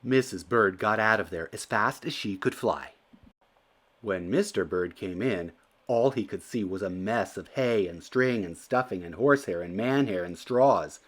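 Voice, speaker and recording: A man reading a children's storybook aloud as narrator, in an expressive and deliberate storytelling manner. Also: fairly low pitch. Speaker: children's book reader.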